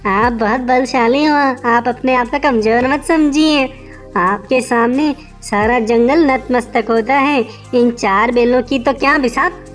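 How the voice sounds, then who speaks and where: Woman voicing a high-pitched sly little she-wolf. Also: a little slow, faint music behind, lightly acted. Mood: wheedling flattery. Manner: wheedling, exaggerated flattery. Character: sly, flattering, scheming small female wolf.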